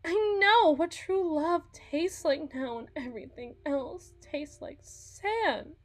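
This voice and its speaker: A woman's voice: high voice, expressive, takes it slowly. A woman playing a desperate, bitter young bride in a dramatic ASMR roleplay, with theatrical, sighing, emotional delivery. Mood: anguished, resigned.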